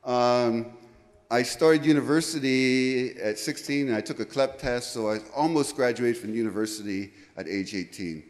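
A man's voice: mid-range voice, moderate pace. Middle-aged American man as a conference presenter; rambling, checks in with "okay?", handheld mic in a lecture hall with reverb. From United States. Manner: rambling, self-correcting, frequent "okay?" check-ins. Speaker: conference speaker. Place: large lecture room, indoors. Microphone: handheld microphone.

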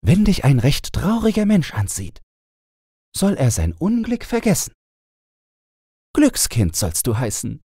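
A man's voice: on the high side, wildly swooping pitch, measured pace, long pauses, dark tone, slightly rough, no room sound, studio quality, voice pushed up, exaggerated voice. A man voicing a cheerful young fairy-tale princess with playful, naive, bossy chatter. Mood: cheerful, earnest.

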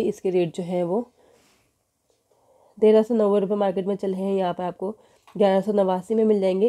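A woman, walking through supermarket sale prices in a casual vlog, filming a poster on her phone in a store. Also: mid-range voice. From Pakistan. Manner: steady price listing with filler "jo hai". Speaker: shopping vlogger. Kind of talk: casual product walkthrough. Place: indoors in a supermarket.

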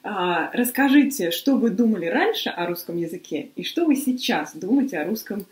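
A woman who is a Russian teacher interviews her former student, asking her questions in a measured way. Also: mid-range voice, lively intonation. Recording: echoey room.

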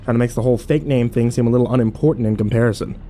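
A man's voice: medium pitch, steady tone, rapid-fire, warm tone, slight background noise, close to an everyday voice. An actor playing a weary, haunted, cynical man who broods and swears through a first-person horror audio drama. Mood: bitter, hostile. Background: music behind the voice.

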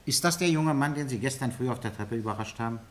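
An actor playing a calm, courteous police inspector who asks probing, matter-of-fact questions in a radio crime drama. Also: male voice, mid-range voice, fast, lightly acted. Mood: polite, probing.

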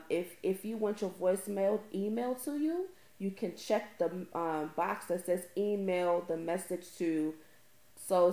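Low, mellow-voiced woman tutorial presenter, roomy sound. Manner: emphatic, repeats key phrases for stress. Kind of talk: informal step-by-step screen tutorial narration.

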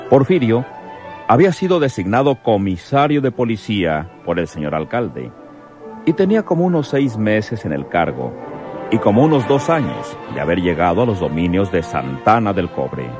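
A man's voice: low-pitched, very animated, moderate pace, over music. A man with a Mexican accent delivering dramatic scripted narration for an old radio drama. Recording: old radio broadcast recording.

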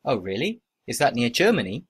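A man acting out short scripted everyday dialogues for a listening test, with plain, expressive conversational reading. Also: medium pitch, a little fast, husky.

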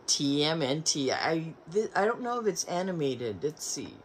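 A woman's voice: sits low, big pitch swings, moderate pace, a little hiss or hum. A middle-aged woman chatting through a thrift haul at home, reading back covers aloud and stumbling over names, recorded by a camera in front of her in a room lined with shelves. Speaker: collector showing a thrift haul. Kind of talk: casual haul video. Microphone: camera in front, seated in armchair.

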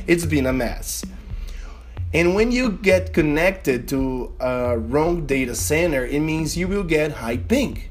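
A young man from Brazil with a Brazilian Portuguese accent, narrating a game tutorial in an earnest, slightly halting way. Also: fairly high pitch. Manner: earnest, slightly halting non-native delivery. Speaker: gaming video creator.

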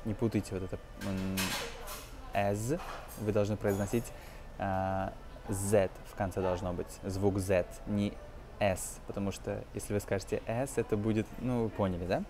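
A man in his twenties giving an informal language lesson as a teacher, explaining phrases in a halting, hesitant way. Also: lowish voice, level tone, noisy background. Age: 20s. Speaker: English teacher.

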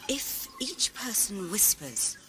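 A woman's voice: medium pitch, sing-song, takes it slowly. A woman acts out an idealistic rebel with mystical conviction. She speaks solemnly and earnestly, with wide melodic movement. Music runs under this sci-fi audio drama. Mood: solemn, determined. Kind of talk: science-fiction audio drama acting. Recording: music behind the voice.

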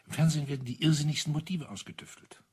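An actor playing a retired army colonel in a radio crime play, an older man with a husky, raspy voice and a blunt, musing manner. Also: on the high side, fairly even intonation, racing, a little mellow. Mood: provocative, sly. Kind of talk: 1980s German radio crime play acting.

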